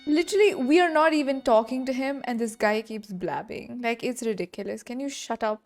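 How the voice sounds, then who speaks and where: A woman's voice: a little high, a little fast. A woman game streamer giving casual, blunt live reactions and talking back at the game. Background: game music and dialogue.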